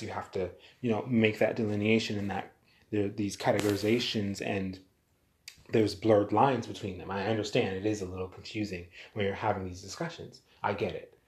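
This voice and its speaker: An American man delivering a blunt, exasperated, lecturing opinion monologue straight to his audience. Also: fairly low pitch. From United States. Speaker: vlogger giving opinions. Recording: roomy echo.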